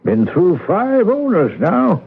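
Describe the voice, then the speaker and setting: Old groundskeeper, crusty, wry, hesitant, lively intonation, vintage radio drama, noisy thin broadcast sound. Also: male voice, high-pitched, slow, gravelly, narrow, tinny audio, heavily acted. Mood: reminiscing. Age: older. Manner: rambling, hesitant, folksy. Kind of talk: 1970s radio drama acting.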